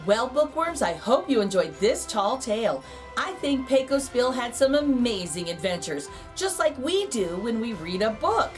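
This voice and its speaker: A woman with a fairly high voice reads a children's storybook aloud over music. Her delivery is expressive and very animated, drawing out words for dramatic effect. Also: moderate pace, poor audio. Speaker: host reading a children's picture book aloud.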